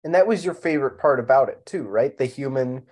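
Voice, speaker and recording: A man co-hosting a podcast in casual discussion, speaking haltingly and doubling words for emphasis. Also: medium pitch, animated, darkish tone. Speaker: podcast co-host.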